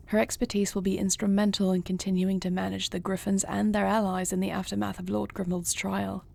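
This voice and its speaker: A husky, slightly gravelly dragon queen acted by a woman speaks in an intimate fantasy audio roleplay. Her phrasing is formal and regal, yet soft and tender toward her beloved. She sounds resolute and measured, with breath in the voice. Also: medium pitch. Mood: composed, resolute. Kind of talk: intimate audio roleplay acting, addressing the listener.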